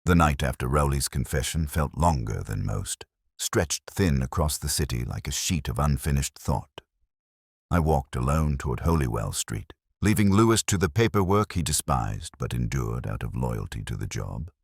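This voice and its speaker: A man playing a melancholy older detective inspector, narrating case notes in a measured, reflective, literary way. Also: rumbling low, sing-song, gravelly, slightly rough, no echo, clean studio sound, full character voice. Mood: solemn, brooding. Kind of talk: scripted first-person literary narration.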